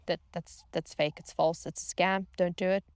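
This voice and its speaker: A woman's voice: on the low side, little pitch movement, moderate pace, clean tone, no echo. A woman telling a casual comedic personal story to viewers in a dramatic, self-mocking way, repeating phrases for emphasis. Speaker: storytelling vlogger and former yacht crew member.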